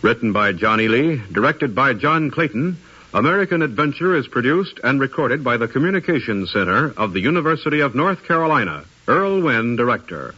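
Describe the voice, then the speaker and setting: A man's voice: mid-range voice, very animated, brisk, bright, forceful tone, slightly rough. A man making formal, scripted announcements in the style of 1950s radio. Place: radio studio. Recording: dull 1950s radio recording.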